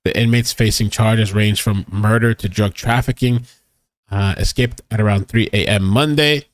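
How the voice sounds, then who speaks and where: A man with a fairly low voice speaks in a dry, close recording. He hosts a live stream, thinking out loud informally as he reacts to news and reads bits aloud. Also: steady tone, moderate pace, no room sound. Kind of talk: casual live-stream commentary and reading aloud.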